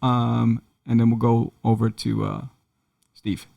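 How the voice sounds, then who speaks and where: A male podcast host with a gravelly, creaky voice. He takes his time and keeps it loud and upbeat. His questions are casual and relaxed. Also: medium pitch, slow.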